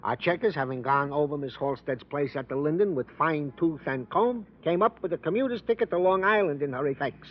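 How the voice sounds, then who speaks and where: A man's voice: fairly high pitch, brisk, few pauses, natural voice. An actor playing a wordy, fatherly police sergeant in a radio drama, talking in a florid, mock-formal way. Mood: ornate, self-pleased. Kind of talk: 1950s radio character acting. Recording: muffled, noisy old radio recording.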